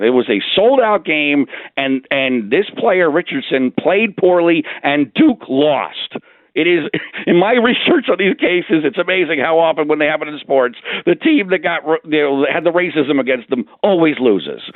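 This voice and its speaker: Male radio guest, slightly high voice, very animated delivery, indignant political argument, lo-fi call sound. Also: no echo. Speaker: guest commentator on a radio show. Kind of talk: opinionated political talk.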